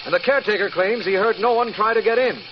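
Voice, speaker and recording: An actor playing an earnest, businesslike district attorney in an old-time radio drama, formal and often incredulous. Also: male voice, sits high, quick pace, natural voice. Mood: puzzled. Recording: dull, faintly noisy vintage radio recording.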